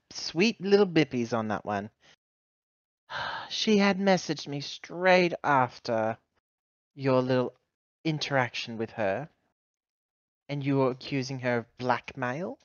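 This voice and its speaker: A woman's voice: rumbling low, animated, takes it slowly, dry recording, highs cut off, heavily acted. A woman playing a sardonic, exasperated corporate executive who sighs and speaks pointedly in a tabletop game. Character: exasperated female corporate executive, sardonic, scolding her subordinate.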